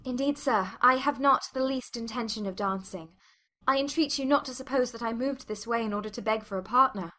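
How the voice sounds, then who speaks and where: An actress playing a spirited, self-possessed young gentlewoman in a dramatized audiobook, arch and articulate. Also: on the high side, brisk. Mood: politely firm.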